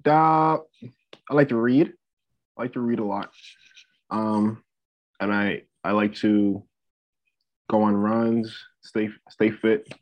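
A man's voice: fairly low pitch, steady tone, takes it slowly, long pauses. A man in his twenties from the Bahamas talking casually as a podcast guest, hesitant and repeating phrases as he thinks. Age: 20s. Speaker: podcast guest, student athlete and entrepreneur. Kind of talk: casual interview talk. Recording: dull lo-fi audio.

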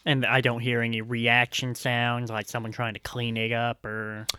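A man's voice: medium pitch, completely flat delivery, normal speed, sharp tone, clean tone, no room sound. A man with a mid-range voice plays a tabletop game. He speaks casually and a little tentatively, announcing actions and asking questions, in a dry, close recording.

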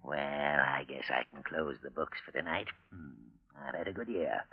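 An actor playing a gloating, crooked old miser who is jumpy and blustering, in 1940s radio drama style. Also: male voice, rumbling low, moderate pace, breathy edge, exaggerated voice. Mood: smug, gloating. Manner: muttering and chuckling to himself, blustering when challenged. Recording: muffled old radio recording.

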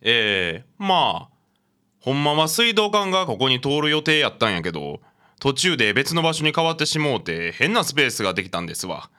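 A man's voice: medium pitch, very animated, a little fast, pushed and bright, smooth. A man playing an older, chatty apartment landlord with a Kansai accent in a radio drama. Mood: sheepish. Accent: Kansai Japanese. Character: old male apartment landlord, a chatty grandfather.

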